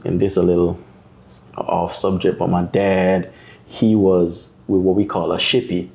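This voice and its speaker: A man's voice: low-pitched, a little slow, creaky. A man with a Jamaican accent, a guest artist telling stories in a podcast interview and acting out the voices of other people. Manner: animated storyteller, acts out voices of others.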